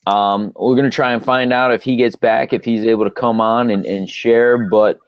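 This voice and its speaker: A man's voice: mid-range voice, steady tone, normal speed, smooth, no room sound. A man hosting a live online talk show, enthusiastic and encouraging, addressing his audience directly. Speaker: host. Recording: dry, dull lo-fi sound.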